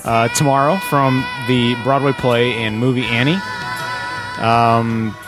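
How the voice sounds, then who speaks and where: A man's voice: medium pitch, fairly even intonation, slow pace, over music, rough recording. A man co-hosting a podcast in casual comic banter, joking and poking fun at himself. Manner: joking, self-mocking. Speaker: podcast co-host.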